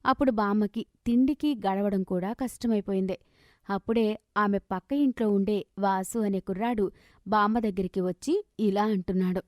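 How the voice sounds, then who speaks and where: A woman's voice: mid-range voice, normal speed, darkish tone, no room sound. Woman narrating a moral story, dry sound.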